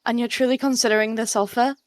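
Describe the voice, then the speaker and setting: A woman's voice: a little high, fairly even intonation, fast, dry recording, natural voice. A woman voicing a proud, protective mother cat with an intense, hissing, emphatic manner in an animal roleplay story. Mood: cold.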